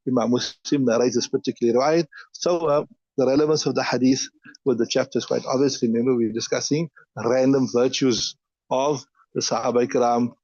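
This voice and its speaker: Fast-talking male teacher explaining a text. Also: mid-range voice, steady tone, darkish tone, no echo, dull audio. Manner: reads each line aloud, then explains it step by step. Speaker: mufti teaching hadith. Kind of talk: hadith class explanation.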